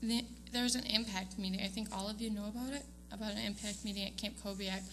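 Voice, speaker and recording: Bright-voiced young woman speaking in church. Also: medium pitch, fairly even intonation, quick pace, soft background music. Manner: hesitant, many ums, laughs often. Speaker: student giving award speech. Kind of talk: casual speech to a church congregation. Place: church sanctuary.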